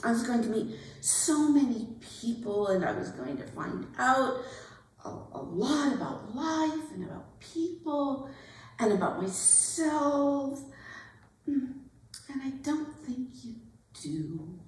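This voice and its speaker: A woman acting a giggly, earnest young society debutante in a theatrical monologue, gushing and halting as she talks. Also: high-pitched, sing-song, darkish tone, recorded from a distance, slight background noise, heavily acted. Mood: earnest, confiding. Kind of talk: theatrical dramatic monologue at a staged reading. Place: arts center performance space. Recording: echoey hall, picked up from afar.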